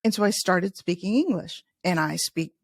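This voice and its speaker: Middle-aged woman from Chicago, native Spanish speaker, no accent; gravelly, talkative, dry mic. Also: fairly low pitch, animated, moderate pace, no room sound. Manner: chatty, many restarts, laughs easily. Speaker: podcast host and author, interviewed as guest. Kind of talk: casual podcast interview.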